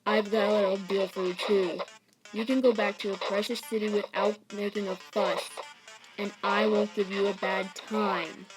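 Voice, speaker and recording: A woman voicing a laid-back, quietly menacing male skeleton who talks in casual slang, for an animated comic dub. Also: mid-range voice, normal speed, over music, close to an everyday voice, rough recording. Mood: quietly threatening.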